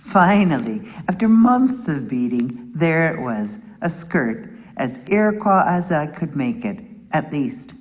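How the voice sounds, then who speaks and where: A middle-aged woman narrates at an unhurried, deliberate pace. Her voice sits low. A long reverb trails behind her words, as if she were recorded in a hall. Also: sing-song, a little mellow. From Wisconsin, USA. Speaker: beadwork artist. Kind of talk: prepared narration for a video.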